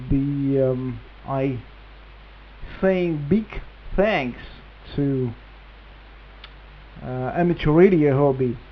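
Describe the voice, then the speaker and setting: A man with a Russian accent speaking hesitant non-native English in a casual ham radio exchange over a radio link. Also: medium pitch. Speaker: amateur radio operator, reflector administrator. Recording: tinny band-limited radio link with hiss.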